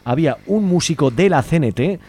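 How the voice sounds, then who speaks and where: A man's voice: a little high, expressive, brisk, dark tone. A man with an Aragonese Spanish accent hosts a radio show, talking in a conversational way with colloquial asides. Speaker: radio host. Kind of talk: casual radio chat.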